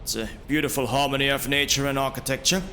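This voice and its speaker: A man playing a seasoned traveling brother in a fantasy audio drama, a guide and leader who speaks with measured, kindly authority. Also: mid-range voice, fairly even intonation, pushed and bright, slight background noise, voice pushed up. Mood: genial, relaxed. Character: seasoned traveling brother, male, genial guide turned grave commander.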